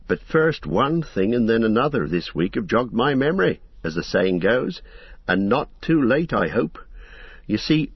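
A man's voice: medium pitch, big pitch swings, measured pace, husky, dry recording, voice pushed up, exaggerated voice. A man voicing a fussy, long-winded middle-aged innkeeper in an audiobook, flustered and apologetic. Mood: chatty, apologetic. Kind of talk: audiobook character voice. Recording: slightly muffled audio.

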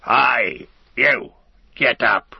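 A man's voice: deep voice, takes it slowly, vocal fry, husky, no echo, muffled recording, exaggerated voice. A man voicing a brutal orc slave driver in a fantasy storybook, snarling and jeering as he barks. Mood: barking.

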